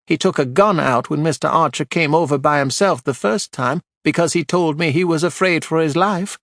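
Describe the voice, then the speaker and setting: A man's voice: normal speed, fairly bright tone, dry recording, clean studio sound. A man voicing an indignant, haughty older widow testifying on a witness stand. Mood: gossipy. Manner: shrill, imperious, snappish.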